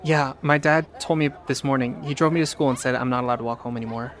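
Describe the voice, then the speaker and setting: A man playing a worried high school boy in an audio drama, passing on news. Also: steady tone, fast, a bit bright, natural voice. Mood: grave, matter-of-fact. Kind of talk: naturalistic audio drama acting. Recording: faint background chatter and noise.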